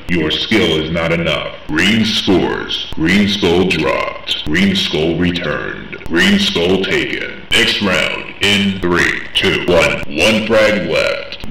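A man playing a commanding video game announcer, calling out short phrases emphatically and deliberately in an echoing space. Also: low voice, bright, forceful tone, exaggerated voice. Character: booming, commanding male arena shooter announcer. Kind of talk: shooter game announcer call-outs in short clipped phrases. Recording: echoey, distant, faint noise.

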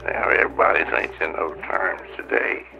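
A man's voice: very deep voice, animated, normal speed, vocal fry, soft background music, heavily acted. A man playing an elderly retired naval signal engineer, reminiscing in a recorded interview for an audio drama. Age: older. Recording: dull lo-fi radio-like interview audio.

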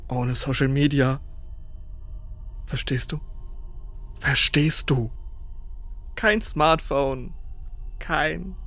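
A man's voice: medium pitch, very slow, long pauses, sharp tone, faint music behind, exaggerated voice. A man playing a shaky, disturbed contestant stranded in the wild in a horror audio drama, speaking in abrupt, repetitive fragments. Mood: desperate, insistent.

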